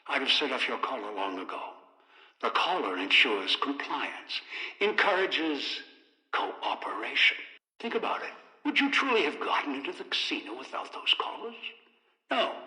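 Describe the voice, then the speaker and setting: Game villain: elderly, manipulative, menacing man, piercing forceful tone, deliberate lecturing delivery, echoing radio-like sound. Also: mid-range voice. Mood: defensive, menacing. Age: older. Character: cold, obsessive, manipulative old man ruling by threats. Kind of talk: video game character dialogue. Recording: echoey hall reverb, radio-like.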